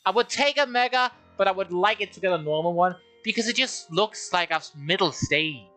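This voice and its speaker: Bright-voiced man. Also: sits high, a little fast, faint music behind. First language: Danish. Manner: rambling, self-deprecating, talks to himself. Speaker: streamer. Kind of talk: casual game livestream commentary.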